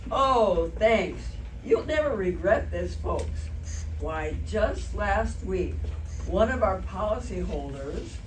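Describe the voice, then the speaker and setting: A woman's voice: mid-range voice, lively intonation, slow pace. A woman playing a huckstering door-to-door insurance seller in old-time radio comedy, with theatrical sales patter. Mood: gushing. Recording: echoey hall, distant mic, clear background noise.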